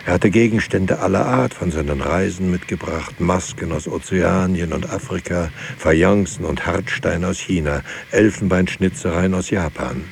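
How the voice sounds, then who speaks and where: An actor playing a grave narrator in a literary radio drama, speaking in a solemn, measured way. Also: male voice, low-pitched, vocal fry, a little hiss or hum. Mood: grave, matter-of-fact. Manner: solemn, measured, terse sentences. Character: grave male narrator recounting lives and losses.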